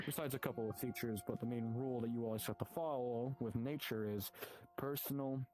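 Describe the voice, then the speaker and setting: A man's voice: mid-range voice, fairly even intonation, fairly quick, a little mellow. A man improvising an in-character guild orientation, explaining politely and wordily. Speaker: roleplayer as hunter guild guide. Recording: music behind the voice. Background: music.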